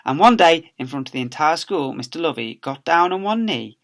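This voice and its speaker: A man's voice: a little high, very animated, brisk, creaky, fairly bright tone. A man, a teacher, reading a storybook aloud to young children with playful, theatrical emphasis.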